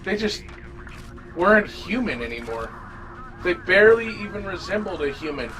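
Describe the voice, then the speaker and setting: A man reading a scripted first-person horror story as an audio drama narrator, grave and dramatic with deliberate phrasing. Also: high-pitched, very animated, rough recording. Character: male survivor recounting a zombie fungus apocalypse. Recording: amateur narration over music. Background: music.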